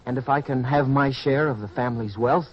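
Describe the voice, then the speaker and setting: Man playing a husky, restless young farm son. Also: medium pitch, brisk, close to an everyday voice. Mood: determined. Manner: earnest, insistent. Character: restless, ambitious, determined young farmer's son. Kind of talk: old-time radio drama acting. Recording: dull lo-fi radio recording.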